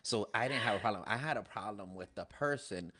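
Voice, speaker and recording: Cast: man in his 30s, low-pitched co-host, animated storyteller, laughs often, studio boom mic. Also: brisk. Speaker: podcast co-host, church musician. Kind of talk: casual chat. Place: small indoor studio set. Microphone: boom-mounted studio mic.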